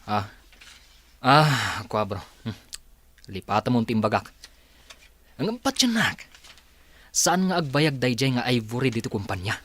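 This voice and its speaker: A man's voice: mid-range voice, big pitch swings, unhurried, pauses often, fairly bright tone, no room sound. A man with an Ilocano accent playing an anxious, exasperated company executive in a radio drama.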